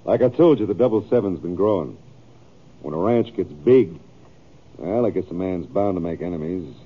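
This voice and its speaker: An American actor playing a stubborn, self-justifying cattle rancher with folksy, rough grammar in a radio western. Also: male voice, low-pitched, animated, moderate pace. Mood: defensive. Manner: folksy, ungrammatical. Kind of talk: 1950s radio western acting. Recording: thin, phone-like old radio recording.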